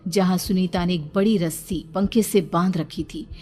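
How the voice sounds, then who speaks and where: A woman's voice: fairly low pitch, steady tone, moderate pace, warm tone. A woman narrator reading a scripted horror story dramatically, with background music under her voice.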